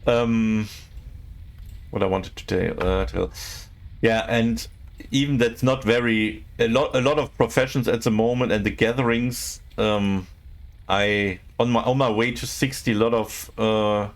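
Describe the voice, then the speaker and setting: Halting, gravelly-voiced man streaming. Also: on the low side, steady tone. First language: not English. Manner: halting, searching for words, many fillers. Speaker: game streamer. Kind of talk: casual live-stream chat with viewers.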